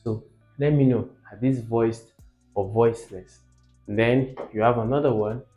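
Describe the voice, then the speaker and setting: A man's voice: mid-range voice, steady tone, normal speed, narrow, tinny audio, soft background music. A man teaching informally as a video host, emphatic, repeating key words and putting rhetorical questions to the learner.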